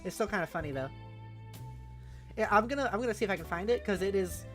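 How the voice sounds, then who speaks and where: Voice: mid-range voice, expressive, fast. A streamer host chatting casually and animatedly with viewers on a livestream. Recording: music playing behind the voice.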